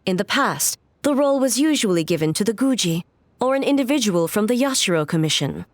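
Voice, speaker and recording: A woman voicing a calm, authoritative and stern ruler in a video game, speaking in a formal, measured, composed way. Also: medium pitch, dry recording, natural voice. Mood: solemn, formal.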